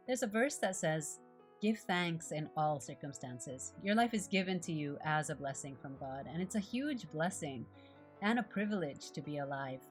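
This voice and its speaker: Low-voiced woman, calm and devotional. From Japan. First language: Japanese. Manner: calm, gentle, unhurried. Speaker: art journaling creator. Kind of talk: reflective devotional voice-over while crafting. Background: faint guitar music.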